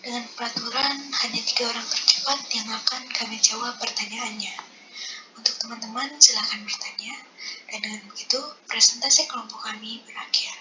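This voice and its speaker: A woman's voice: fairly high pitch, steady tone, brisk, long pauses. A young woman speaking formally as the moderator of a student presentation. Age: young adult. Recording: clearly noisy recording.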